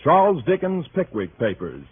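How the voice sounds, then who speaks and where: A man announcing on 1930s radio in a formal, measured manner. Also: on the high side, wildly swooping pitch, slight background noise. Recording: phone-like 1930s radio recording.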